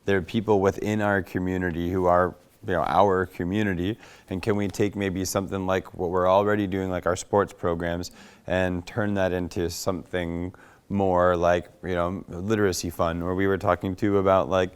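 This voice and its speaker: A man with a low, resonant voice leads an informal workshop. He is encouraging, responding to people's ideas and checking in with the group as he goes. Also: little pitch movement, moderate pace, clean tone. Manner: encouraging, frequently checks in with "right". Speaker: workshop facilitator.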